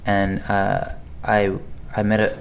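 A man's voice: fairly low pitch, monotone, slow pace, creaky, a little hiss or hum. A man, an actor, chatting casually in an interview, rambling with many restarts and fillers. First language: English. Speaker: actor guest.

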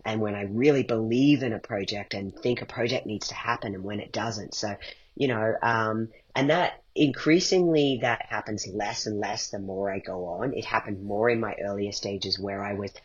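A man's voice: on the low side, moderate pace, slightly rough. A man speaking as an interview guest, reflecting thoughtfully and voicing questions to himself as he talks. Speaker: guest, playwright and podcast creator. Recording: dull, muffled lo-fi sound.

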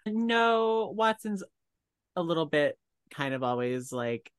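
A podcast co-host with animated, swooping intonation, chatting casually and playfully. The recording is close and dry. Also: mid-range voice, slow pace, smooth, no echo. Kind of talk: casual chat with playful interjections.

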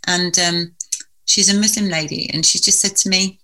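A woman with a bright, forceful tone sitting slightly low in her range. She talks earnestly and reflectively as a guest in a heartfelt conversation. Her sentences wind on at length. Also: steady tone, normal speed. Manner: earnest, reflective, speaks in long winding sentences.

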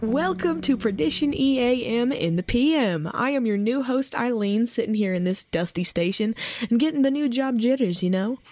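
A woman's voice: on the high side, animated, moderate pace, a little mellow, faint music behind, natural voice. A woman playing a jittery, sardonic new nighttime radio host in an audio drama, talking informally and wryly. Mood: nervous, chatty. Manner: wry, sweary, informal.

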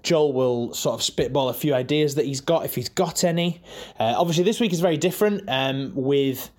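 A man's voice: mid-range voice, fairly quick, darkish tone. Rambling man in casual podcast chat. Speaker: podcast director, editor and voice actor. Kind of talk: casual behind-the-scenes podcast talk.

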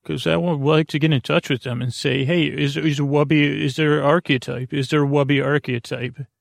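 A male podcast host with a gravelly, creaking voice talks in a very dry, close-sounding space. He improvises a bedtime monologue that wanders from one aside to the next. His delivery is halting, with stammers and words said twice. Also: a little high, fairly even intonation, dry recording. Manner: meandering and digressive, halting with stammers and repeated words.

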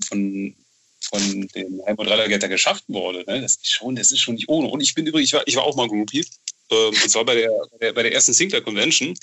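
Bright-voiced man, excitable and halting. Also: on the low side, highs cut off. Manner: excitable, halting, repeats phrase starts while searching for words. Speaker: podcast interview guest, novelist. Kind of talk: casual enthusiastic interview talk.

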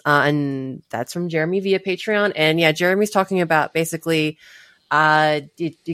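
A woman co-hosting a tech podcast, chatting casually and quickly making her point. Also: low voice, no room sound, clean studio sound. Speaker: podcast co-host.

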